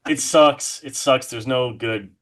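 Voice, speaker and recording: A man's voice: medium pitch, slow, a bit bright. A man talking casually and enthusiastically as a guest in an interview, with an effusive way of putting things. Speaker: podcast guest, horror artist.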